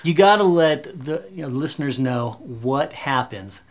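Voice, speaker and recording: A man's voice: mid-range voice, animated, measured pace. A man co-hosting a podcast, chatting casually as he asks questions around an office. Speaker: podcast co-host. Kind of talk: casual chat. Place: offices, indoors.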